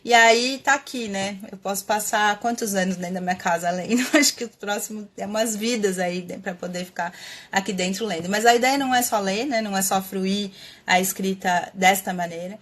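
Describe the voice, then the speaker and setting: Brief: Brazilian woman host, mid-pitched, reflective live talk, halting with fillers. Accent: Brazilian Portuguese. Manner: thinking aloud with many fillers and restarts. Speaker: host of a live stream on writing. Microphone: webcam or computer mic, live stream.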